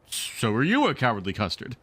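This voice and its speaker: A man acts out a middle-aged father from a children's storybook, vain and blustering. He retorts with pompous indignation, and his pitch moves around in a lively way to cover his cowardice. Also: fairly quick, slightly rough, dry recording, full character voice. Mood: indignant.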